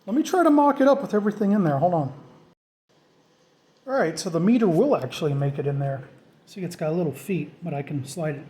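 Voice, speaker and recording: A man's voice: a little high, expressive, fairly quick, mellow. A man narrating a do-it-yourself project in a casual, off-the-cuff way, thinking aloud informally as he goes. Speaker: DIY vlogger.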